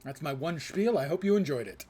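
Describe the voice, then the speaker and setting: A man's voice: fairly high pitch, animated, brisk, dark tone, husky. A man live-streaming a video game, commenting casually and reading quests aloud with wry, self-deprecating, wordy humour.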